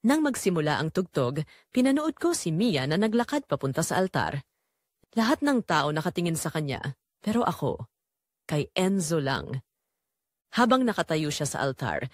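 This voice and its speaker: Woman playing a guilt-ridden mother, audio drama narration, animated pitch swings, confessional, measured storytelling, dry close sound. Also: no room sound. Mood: longing, tense. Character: guilt-ridden, secretly longing mother of a bride. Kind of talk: scripted first-person dramatic narration.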